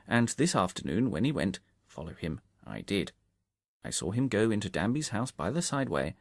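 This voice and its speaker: Shrewd gentleman sleuth played by a male reader. Low, creaky, very animated, methodical and confident storybook delivery. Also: no echo, studio quality, exaggerated voice. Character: shrewd, self-assured gentleman detective explaining his methods.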